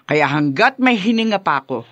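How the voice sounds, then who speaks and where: Long-suffering parent for radio drama: Filipino, earnest, unhurried, widely swinging intonation, dry sound. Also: male voice, high-pitched, big pitch swings, fairly bright tone, no room sound, highs cut off. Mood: earnest, tender. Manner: earnest, emotional. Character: devoted, long-suffering parent, proud of their child.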